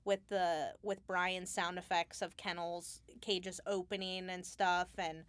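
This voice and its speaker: A woman speaking casually as an interview guest, explaining her craft in a halting, thinking-aloud way. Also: lowish voice, flat delivery, a bit bright, clear, resonant tone, no echo. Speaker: Foley artist, interview guest.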